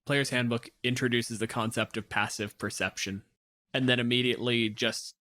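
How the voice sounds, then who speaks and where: A man's voice: mid-range voice, steady tone, normal speed, pushed and bright. A man on a discussion podcast, explaining and analysing in a casual, conversational style. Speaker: podcast panelist.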